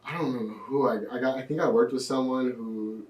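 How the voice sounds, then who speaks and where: A young man in his twenties, a podcast guest telling his story in a relaxed way with lots of fillers. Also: mid-range voice, fast, distant mic, muffled recording. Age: 20s. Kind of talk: casual interview. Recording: echoey room, off-mic.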